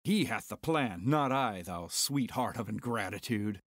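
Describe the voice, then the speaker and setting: A man's voice: fairly low pitch, expressive, measured pace, no room sound, studio quality. A man playing a cocky, irritable smuggler with sardonic delivery in a Shakespearean dramatic reading. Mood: irritated.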